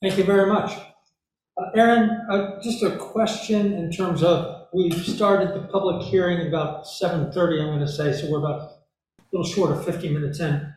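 A man chairing a council public hearing, brisk and friendly, with frequent uhs. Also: high voice, fairly even intonation, darkish tone, recorded from a distance, slight background noise. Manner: brisk, friendly, uh-filled procedural talk. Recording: very echoey meeting room.

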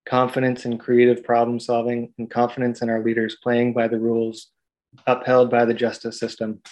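A young man giving a prepared public comment at a council hearing, earnest and measured. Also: mid-range voice, completely flat delivery, a little mellow, poor audio. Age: young adult.

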